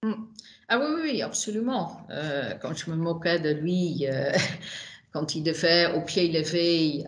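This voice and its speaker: Slow, echoey-voiced non-native woman. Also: low voice. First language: not French. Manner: halting, many restarts and fillers. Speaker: witness, widow of a manager. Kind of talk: video call testimony. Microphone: video call. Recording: echoey room on a video call.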